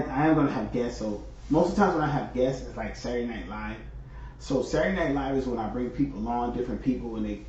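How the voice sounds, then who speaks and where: A man's voice: mid-range voice, warm tone, a little hiss or hum. A man from California hosting his stream, talking on his own in a rambling, chatty way full of fillers. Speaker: host. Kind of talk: casual solo monologue. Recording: echoey, distant, dull sound.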